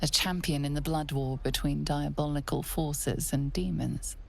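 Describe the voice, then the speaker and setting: A woman narrating a fantasy game in a grave, ominous, measured voice. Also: deep voice, fairly even intonation, vocal fry, heavily acted. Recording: loud music behind.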